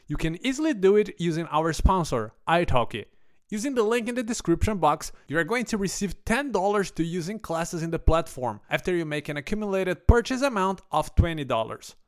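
A man's voice: high voice, smooth. A man hosting a language podcast, reading an intro and asking questions with non-native phrasing. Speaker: podcast host.

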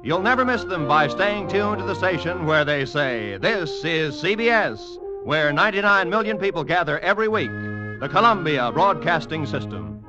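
A man's voice: a little high, sing-song, normal speed, music in the background. A man announcing in a polished, emphatic, scripted 1940s network radio style. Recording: dull 1940s radio broadcast recording.

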